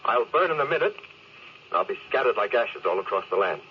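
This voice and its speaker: A man's voice: medium pitch, lively intonation, brisk, lightly acted. Man acting a wistful doomed captain, old noisy radio. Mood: resigned, regretful. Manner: wistful, philosophical. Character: doomed male spaceship captain, resigned, reflective, calmly facing death. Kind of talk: 1950s radio drama acting. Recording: muffled, noisy old 1950s radio broadcast.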